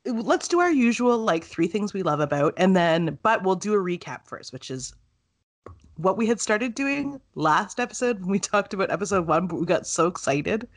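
Woman podcast co-host, casual chat, animated, playful, swooping pitch. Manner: animated, playful, quick to laugh.

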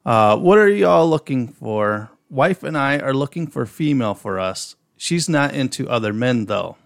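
A man's voice: mid-range voice, expressive, moderate pace, creaky, no echo, studio quality. A man with an Upper Midwest American accent, co-hosting a casual podcast, telling stories and reading out listener messages, with some profanity. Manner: storytelling, reads out messages, profane. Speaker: podcast co-host.

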